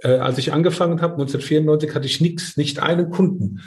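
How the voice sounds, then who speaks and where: A man's voice: a little high, fairly even intonation, quick pace, darkish tone. A middle-aged man speaking casually and earnestly as a winemaker, often tagging his points with short questions to seek agreement. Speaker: winemaker, guest. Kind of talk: casual conversation about own work.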